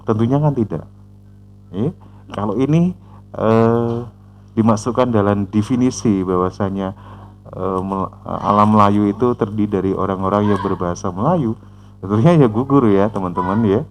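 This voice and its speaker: A man hosting a reaction channel who comments on a video in a measured, explanatory way, often pausing with "eh" and tagging sentences with "ya". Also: fairly low pitch, darkish tone, a little hiss or hum. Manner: measured, explanatory, frequent "eh" fillers and "ya" tags.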